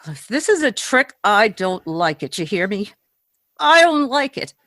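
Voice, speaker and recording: A woman playing a brash, suspicious, panicky society woman in a 1940s-style radio drama, sharp-tongued, demanding and melodramatic. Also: medium pitch, very animated, normal speed, dry recording, clean studio sound. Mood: defiant, angry. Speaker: voice actor.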